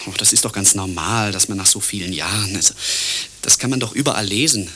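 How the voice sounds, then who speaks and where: An actor playing a meek, sulky husband in radio play dialogue, hesitant and mildly protesting. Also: male voice, fairly low pitch, quick pace, bright, forceful tone, breathy edge. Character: meek, sulky husband deferring to his partner.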